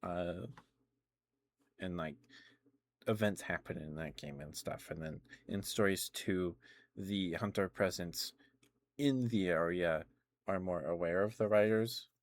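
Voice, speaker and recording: A man with a deep voice hosts a casual ASMR livestream. He chats in a loose, rambling way, often halting and picking his thought back up. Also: lively intonation, creaky, dry recording. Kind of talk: casual ASMR gaming chat.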